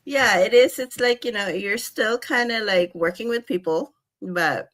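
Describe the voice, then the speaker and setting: A woman's voice: mid-range voice, unhurried, a bit bright. A woman in her forties from the Philippines chatting as a guest, with many fillers and frequent laughs. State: unwell. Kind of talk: casual chat.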